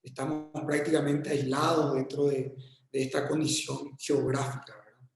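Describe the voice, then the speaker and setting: A man with a Paraguayan accent giving a casual remote talk as a guest architect, halting and friendly, often repeating his words. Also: medium pitch, little pitch movement, creaky, heavy reverb, music in the background. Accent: Paraguayan Spanish. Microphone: video call.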